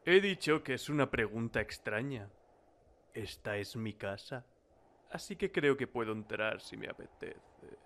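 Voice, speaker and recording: A man playing a menacing, creepily controlling martial-arts hero husband in a cartoon parody, speaking slowly and lapsing into breathy whispers. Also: mid-range voice, expressive, fairly bright tone. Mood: cold suspicion. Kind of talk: cartoon parody fandub acting.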